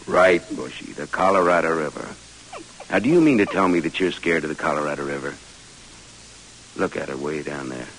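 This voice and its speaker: An actor playing a brooding, affectionate American army major turned explorer in an old radio drama. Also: male voice, extremely low pitch, animated, brisk, long pauses, a bit bright, heavily acted, low-quality recording. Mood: playful, coaxing. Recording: hissy old radio recording.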